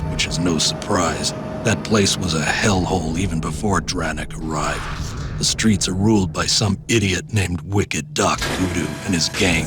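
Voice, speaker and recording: An actor playing a tough, sardonic combat racer, narrating game briefings in a dry way over loud music. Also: male voice, deep voice. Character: gritty, sardonic male combat racer briefing his team. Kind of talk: scripted game cutscene narration.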